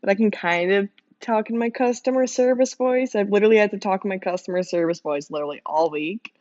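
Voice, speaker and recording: A young woman speaks with a hoarse, strained voice, as if she has been shouting for days. She rambles casually to her viewers, laughing often between her thoughts. Her voice comes through a dull, lo-fi mic with a dry, close sound. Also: medium pitch, fast, no echo. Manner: giggly, laughs often, many fillers. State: hoarse, losing voice from screaming. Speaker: livestreamer drawing art.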